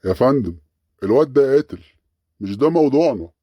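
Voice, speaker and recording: A man's voice: mid-range voice, animated, fast talker, breathy edge, no room sound, highs cut off. A man voicing a harried, dutiful police sergeant in an Egyptian comedy cartoon, urgent yet deferential, with an Egyptian accent. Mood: pleading.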